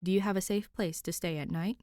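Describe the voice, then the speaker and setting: A woman's voice: fairly low pitch, fairly even intonation, a little fast, mellow, dry recording, natural voice. A woman voicing an exasperated, protective young male masked vigilante, weary and dry, in an audiobook story. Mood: dry, stern. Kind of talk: audiobook character dialogue.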